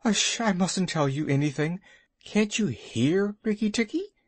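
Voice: mid-range voice, lively intonation, unhurried, no room sound, voice pushed up. A storyteller voicing a timid, broken-hearted male muskrat who whimpers and pleads tearfully. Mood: fearful.

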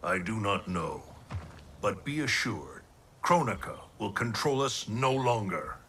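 An actor playing a solemn, commanding older thunder god in a video game, speaking with grave, formal diction. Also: male voice, on the low side, very animated, unhurried, heavy background noise. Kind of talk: dramatic video game cutscene acting.

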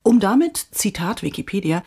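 A woman podcast host giving scripted, dramatic obituary narration with wry, theatrical phrasing and emphatic pauses. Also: medium pitch, very animated, mellow, breathy edge.

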